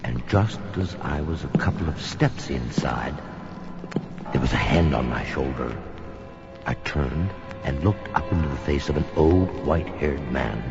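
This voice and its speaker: An actor playing a sinister old storyteller telling a spooky Halloween tale in a theatrical, dramatic way. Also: male voice, extremely low pitch, expressive, exaggerated voice. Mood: creepy, inviting. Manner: slow, dramatic, whispers and outbursts. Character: sinister old storyteller welcoming listeners into his home. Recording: dull lo-fi recording. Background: music.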